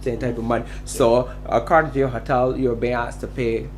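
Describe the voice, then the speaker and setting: A man, a hotel band musician, recounting events haltingly in casual conversation. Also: medium pitch, level tone, soft background music. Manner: recounting, halting with many fillers.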